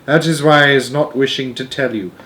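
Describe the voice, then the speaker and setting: A man voicing a gentle, wistful storybook giant with muddled, ungrammatical folksy speech. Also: mid-range voice, steady tone, fairly quick, pitched above the performer's own voice, natural voice. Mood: earnest. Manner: ungrammatical, jumbled made-up words.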